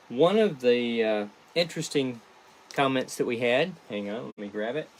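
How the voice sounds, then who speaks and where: Slow-paced, halting man chatting to viewers. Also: mid-range voice, slight background noise. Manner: halting, rambling delivery. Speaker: podcast creator and host. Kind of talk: casual livestream chat to viewers.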